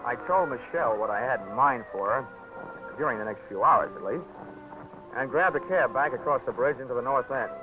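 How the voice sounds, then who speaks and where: A man's voice: mid-range voice, animated, fairly quick, natural voice. An actor playing a hard-boiled male insurance investigator who narrates in a wry, clipped, wisecracking style in a 1940s radio drama. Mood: narrating. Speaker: lead and narrator. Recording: dull old radio broadcast with music.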